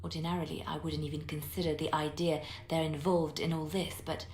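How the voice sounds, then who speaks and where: A composed woman spymaster from a fantasy game, voiced by an actress. She speaks in a somewhat low voice, guarded, grave and deliberate. The recording is echoey, with some noise behind it. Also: level tone, darkish tone, natural voice. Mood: quietly concerned. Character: composed, secretive woman spymaster, quietly watchful. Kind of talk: video game character acting.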